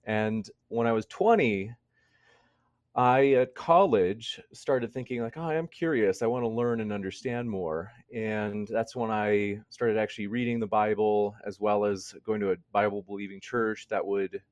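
A man's voice: on the low side, moderate pace, no room sound, muffled recording. A man in his mid-thirties speaking as an interview guest in a conversational, reflective way, thinking aloud. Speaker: interview guest, debate channel host. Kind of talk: conversational personal testimony.

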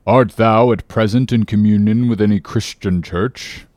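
A man voicing a stern, pious and suspicious old shipowner in an audiobook, hollow-voiced, with drawn-out archaic thee-and-thou speech. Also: fairly low pitch, steady tone, a little mellow, dry recording, studio quality, lightly acted.

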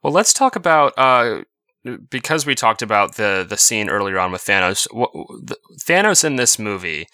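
A man's voice: fairly low pitch, sing-song, normal speed, bright, forceful tone, dry recording. A man hosting a casual podcast chat, hesitating with many fillers as he steers the talk with questions.

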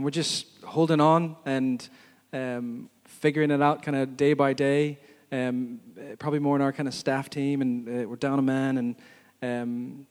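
An earnest man leads his congregation in prayer, speaking in halting phrases with many pauses. His mid-range voice rings with a long reverb off the walls of a church hall. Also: mid-range voice. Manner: earnest, halting, pausing often. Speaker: church leader. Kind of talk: church announcements and spoken prayer.

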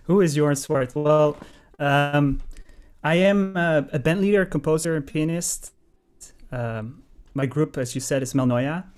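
Jazz pianist, rambling and thoughtful. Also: male voice, a little high, unhurried. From Netherlands. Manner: thoughtful, rambling with many restarts and fillers. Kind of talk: casual interview chat.

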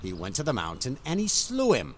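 A man playing a gruff, impatient character in a fantasy audio drama. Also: medium pitch, huge pitch swings, fairly quick, a little hiss or hum. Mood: gruff, challenging.

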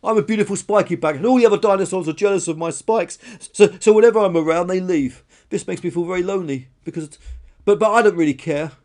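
A man's voice: sits high, animated, fairly quick, few pauses, a little mellow, pitched above the performer's own voice. A man voicing a vain, defensive female dinosaur in a children's story, stammering and indignant. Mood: tearful, defensive.

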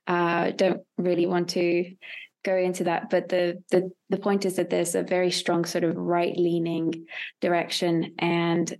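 Hesitant young woman, low even pitch. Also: measured pace. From Hungary. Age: young adult. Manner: hesitant, thoughtful, searching for words. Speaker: interview guest, experimental filmmaker. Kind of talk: casual interview answers.

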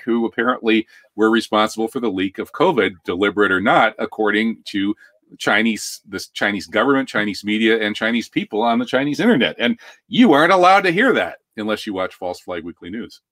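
An American man with a fairly low voice hosts a news commentary show. He talks conversationally, with a wry, tongue-in-cheek edge. The sound is dry, with very little room around him. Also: a bit bright, dry recording.

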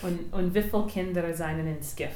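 Halting low-voiced woman interviewer. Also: noisy background. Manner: halting, searching for words, frequent hesitations. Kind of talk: casual interview questions.

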